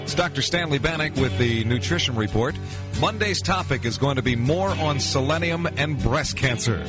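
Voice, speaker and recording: A man's voice: medium pitch, moderate pace. An American man hosting a 1980s AM radio show in announcer patter, introducing items as a weekend DJ. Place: radio studio. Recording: 1980s AM radio aircheck with music.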